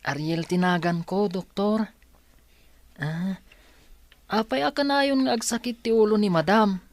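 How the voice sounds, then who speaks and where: A woman's voice: mid-range voice, unhurried, no room sound, close to an everyday voice. A woman acting as a worried young helper in an audio drama, speaking in a concerned, questioning way. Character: worried young helper attending a sick madam.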